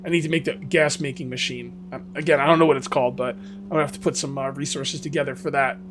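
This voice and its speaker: A man with a hoarse, fading voice, casually commenting on his survival game as he plays and rambling to his viewers. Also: mid-range voice, fairly even intonation, fast, background noise. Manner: rambling, self-correcting, talks to viewers. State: losing his voice. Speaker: gaming video host. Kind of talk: casual let's-play commentary.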